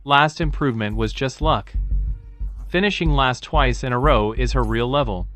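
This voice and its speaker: An actor playing a dismissive, skeptical onlooker in a motorcycle racing drama. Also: male voice, medium pitch, moderate pace, a bit bright, soft background music, natural voice. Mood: scornful.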